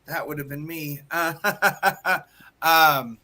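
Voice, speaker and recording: A man with a raspy, hoarse voice, chatting casually as podcast co-host and drag performer, self-mocking with quick asides. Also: on the high side, sharp tone. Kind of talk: casual chat.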